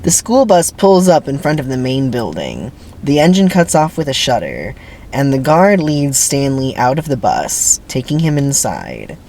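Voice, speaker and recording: A man's voice: mid-range voice, huge pitch swings, unhurried, darkish tone. A man doing a theatrical dramatic reading of a screenplay as narrator, with wry asides and faint background noise.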